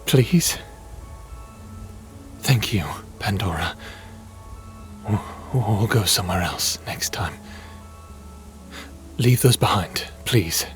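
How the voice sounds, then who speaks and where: A man's voice: on the low side, lively intonation, takes it slowly, pauses often, faint music behind. A man in a fantasy audio drama playing a lonely, brooding god of the underworld, earnest and hesitant in his speech. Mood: uneasy, pleading.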